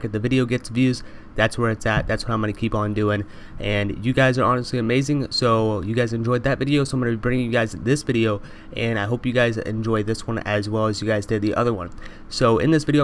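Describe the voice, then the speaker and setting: A man's voice: mid-range voice, a little hiss or hum. A young man with a congested voice from allergies, talking straight to his viewers over game footage and calling them "you guys" again and again. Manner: repetitive, addresses viewers constantly as "you guys". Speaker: gaming YouTuber. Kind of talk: fast voice-over over gameplay.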